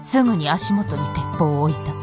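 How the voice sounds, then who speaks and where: A woman's voice: low voice, lively intonation, a little fast, over music. A woman reading scripted narration for a Japanese radio drama.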